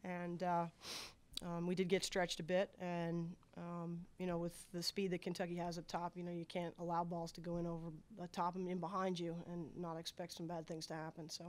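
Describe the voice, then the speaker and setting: A middle-aged woman coach answering press questions after a game at a table mic, steady and measured, with many filler words. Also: fairly low pitch. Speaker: head soccer coach. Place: press conference room at a table. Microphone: table microphone.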